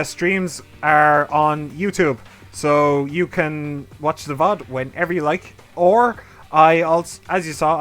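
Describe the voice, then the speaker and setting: A man's voice: a little high, a bit bright. A man streaming a game, chatting casually and rambling as he talks to his viewers. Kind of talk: casual let's play commentary. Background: game music.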